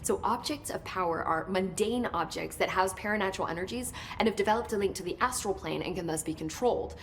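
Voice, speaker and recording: A woman's voice: medium pitch, fast, a little hiss or hum, natural voice. An actress voicing an eager woman researcher in a game who explains things in a chatty, nerdy way. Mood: helpful. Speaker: research scientist guiding the hero.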